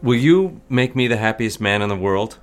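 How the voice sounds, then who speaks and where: A man's voice: medium pitch, lively intonation, moderate pace, faint music behind, lightly acted. A young man acting a nervous, genial suitor in an audio drama, courteous and slightly hesitant. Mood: earnest, flustered.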